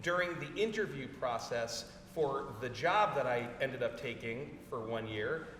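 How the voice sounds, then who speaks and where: A man's voice: medium pitch, lively intonation, measured pace, a bit bright. A man giving a personal tribute speech at a memorial lecture, chatty and self-deprecating. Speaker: invited speaker giving a tribute. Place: lecture hall. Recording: echoey hall from far off with noise.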